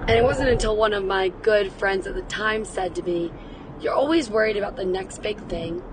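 An upbeat woman, her voice slightly raspy from days of cheering, talks in a warm, encouraging way as a wellness podcast host. She records from inside a moving car. Road noise hums clearly underneath her voice. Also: mid-range voice, moderate pace. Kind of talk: wellness podcast, guided breathing.

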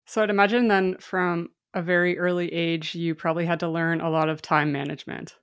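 A woman's voice: on the low side, flat delivery, fairly quick, smooth. A woman hosting an interview podcast, upbeat and eager, reading a scripted intro and then chatting with her guest. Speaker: podcast host.

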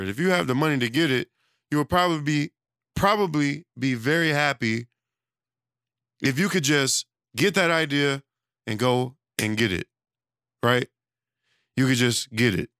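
A man talking off the cuff with a gravelly, fry-tinged voice that is going hoarse as he loses it. The tone underneath stays smooth and resonant. The recording is close and dry, and he rambles and restarts his thoughts in a relaxed, conversational way. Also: mid-range voice, moderate pace, pauses often, no echo. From United States. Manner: loose, rambling, conversational, with many restarts and fillers. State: losing his voice. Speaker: self-employed studio owner. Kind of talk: casual monologue.

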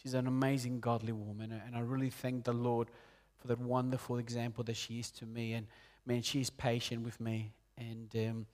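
Earnest Peruvian-born preacher, late forties. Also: male voice. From Peru. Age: 40s. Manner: earnest, rises loud on key points and drops soft and low. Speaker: pastor. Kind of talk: sermon.

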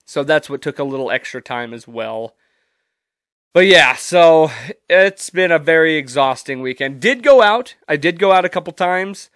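A man's voice: on the high side, lively intonation, normal speed, a bit bright, dry recording, clean studio sound. Profane, congested man chatting solo. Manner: loose, profane, rambling. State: stuffy, congested from allergies. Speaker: podcast host. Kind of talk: casual solo podcast chat.

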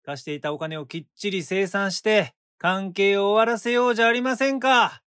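An actor playing a blunt art patron in a radio drama, talking brusquely and colloquially, then narrating in a hushed voice. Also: male voice, sits high, moderate pace, clear, resonant tone, no room sound, clean studio sound. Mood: impatient. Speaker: former patron of the painter. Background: background music.

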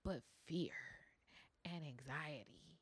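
A woman with a deep voice, hosting a podcast and telling a personal story in a candid, conversational way, acting out quoted dialogue. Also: slow, husky, dry recording. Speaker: podcast host.

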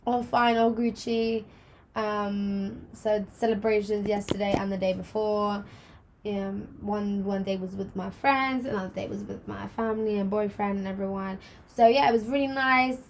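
A woman, co-hosting a casual podcast, her voice still croaky after the flu, teasing and laughing. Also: mid-range voice, fairly even intonation, normal speed, slight background noise, low-quality recording. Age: 20s. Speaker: podcast co-host. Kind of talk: casual chat.

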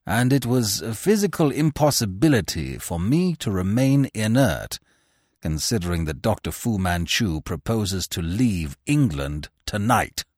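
A man reading aloud voices an injured detective, hoarse and weak, speaking with precise dry understatement. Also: lowish voice, sing-song, measured pace, darkish tone, dry recording. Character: injured, weakened man detective, clipped and determined.

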